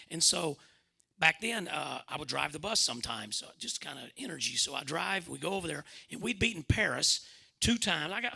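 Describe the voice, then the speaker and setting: A man's voice: a little high, gravelly, sharp tone, slightly rough. A middle-aged man with a Southern Arkansas accent telling stories informally in a folksy, rambling way, often repeating himself. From Arkansas, United States. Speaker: former basketball coach and commentator telling stories at a club talk. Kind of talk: animated informal storytelling.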